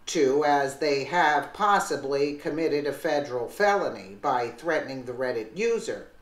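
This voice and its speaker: Commentator, reads an article aloud, casual asides, roomy sound. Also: medium pitch. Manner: reads aloud with slips and restarts, then chats casually. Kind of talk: reading and commenting on a news article.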